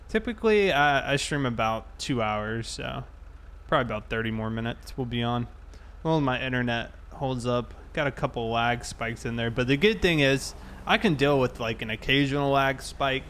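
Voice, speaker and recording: A man chatting casually and thinking aloud in a halting way as he livestreams a police roleplay game. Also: mid-range voice, a bit bright, a little hiss or hum.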